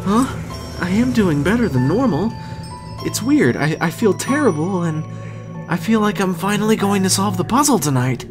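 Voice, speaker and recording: A woman voicing a small, earnest teenage schoolboy in an anime fandub, excitable in manner. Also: medium pitch, normal speed, over music, lightly acted. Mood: worried.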